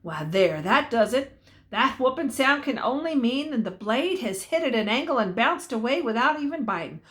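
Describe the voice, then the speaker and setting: A woman's voice: fairly high pitch, sing-song, normal speed, a bit bright. An older woman narrating a story aloud in a folksy, dramatic way, drawing out key words.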